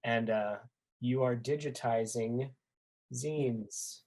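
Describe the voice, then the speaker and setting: An androgynous-sounding speaker chats casually as a fan guest on a podcast. The delivery is earnest and a little halting. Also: medium pitch, dark tone, poor audio. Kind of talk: casual chat.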